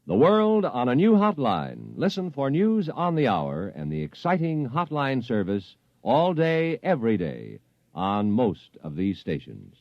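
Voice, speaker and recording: A man's voice: medium pitch, huge pitch swings, a little slow, dry recording. A man reading a radio promo in a 1950s announcer style. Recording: dull vintage radio recording.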